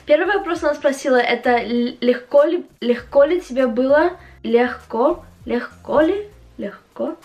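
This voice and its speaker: A young woman from Latvia speaking with an English-influenced accent, chatting casually to the camera as a vlogger and haltingly searching for words. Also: on the high side. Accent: English-influenced Russian. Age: young adult. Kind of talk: casual chat to camera, answering viewer questions. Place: small home room, indoors.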